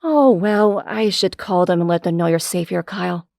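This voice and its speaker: A woman's voice: on the low side, lively intonation, moderate pace, gravelly, a little mellow, dry recording, studio quality. A woman playing a gushing, insistent, protective mother of a teenager in an audio drama. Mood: gushing, hospitable.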